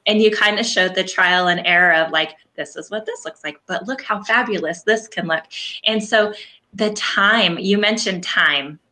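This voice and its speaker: A woman's voice: medium pitch, moderate pace, pushed and bright. A woman hosting a live interview, enthusiastic and encouraging toward her guest. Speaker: host.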